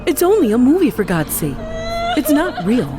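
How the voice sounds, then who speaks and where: Exasperated mother played by a woman, animated pitch, sharp and scolding, threatening edge, for a dark audio drama. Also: normal speed, over loud music. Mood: angry, scolding.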